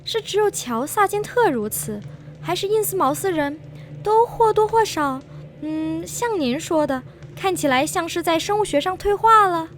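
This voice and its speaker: A woman's voice: very high-pitched, expressive, normal speed. A woman playing a polite, curious interviewer in a radio drama, asking formal questions in Mandarin. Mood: astonished. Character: polite, curious female interviewer, astonished by strange tale.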